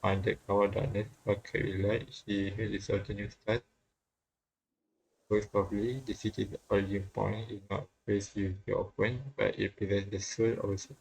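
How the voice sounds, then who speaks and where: A man reading visual novel text aloud with casual commentary, haltingly and often repeating phrases. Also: lowish voice, little pitch movement, vocal fry, a little mellow, slightly rough, rough recording. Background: game music faintly behind.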